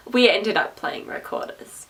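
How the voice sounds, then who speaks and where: A young adult woman talks casually to camera in a loud, projecting voice. She is sarcastic and chatty, repeats herself and laughs often. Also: mid-range voice, vocal fry. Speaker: vlogger. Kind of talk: rambling casual talk to camera. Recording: voice a little distant from the mic.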